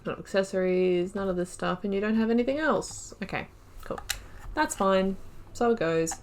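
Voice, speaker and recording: A woman's voice: medium pitch, normal speed, slight background noise. A woman hosting a game playthrough, chatting informally with wry asides and reading on-screen text aloud. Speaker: gaming video host.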